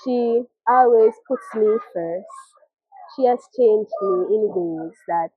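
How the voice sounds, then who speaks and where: A young woman with a Caribbean accent gives an earnest, halting personal testimony as a mother, often repeating her phrases. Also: mid-range voice, breathy edge, no echo. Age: young adult. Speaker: mother telling her life story. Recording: muffled, narrow phone-like audio.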